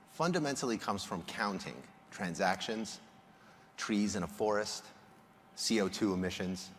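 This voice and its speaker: A man from Egypt giving a prepared public presentation as a researcher, explaining in a measured way with emphatic phrasing. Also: on the low side, slight background noise. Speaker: conference speaker, polling researcher. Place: large indoor hall, on stage. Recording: reverberant hall sound.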